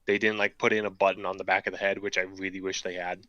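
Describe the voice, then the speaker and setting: Low, bright-voiced man, casual builder chat. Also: quick pace, no room sound, lo-fi sound. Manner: relaxed, joking, thinks aloud with fillers. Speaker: model kit builder chatting on stream.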